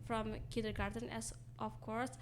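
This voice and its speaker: A woman's voice: a little high, flat delivery, normal speed, noisy background. A woman English teacher with an Indonesian accent, speaking as a radio interview guest and explaining patiently, sometimes switching into Indonesian.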